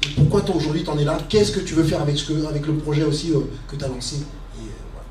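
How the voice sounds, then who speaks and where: A man in his forties answering a question at a conference stand on a handheld mic, halting with many fillers and repeats. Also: on the high side, darkish tone, recorded from a distance. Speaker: guest speaker. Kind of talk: casual panel answer. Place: conference media stand, indoors. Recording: echoey hall, heard from afar.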